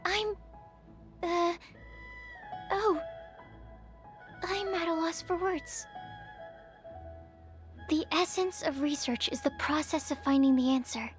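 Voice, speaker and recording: A woman's voice: high voice, slow, long pauses, faint music behind. An actress playing a shy, bookish young female alchemist in a video game, earnest and awkward, speaking in hesitant, precise, formal phrases. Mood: flustered, shy. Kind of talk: video game character voice lines.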